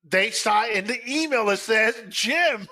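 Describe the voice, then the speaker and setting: A man's voice: sits high, lively intonation, unhurried, pushed and bright. A man co-hosting a livestream with casual, blunt, profane interjections. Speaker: livestream co-host. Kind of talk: casual unscripted livestream banter.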